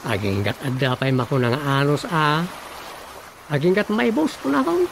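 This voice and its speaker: A man playing a defensive, long-suffering village councilor in a radio drama, with a creaky voice and an Ilocano accent. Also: on the high side, expressive, normal speed, slight background noise. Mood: fed up. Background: loud music.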